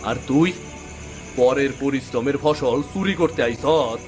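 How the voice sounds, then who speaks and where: Man doing an angry hardworking village farmer, cartoon, rural bangladeshi bengali dialect, accusing, slow pace, music under it. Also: mid-range voice, close to an everyday voice. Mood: indignant. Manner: accusing, emphatic. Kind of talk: cartoon moral story acting.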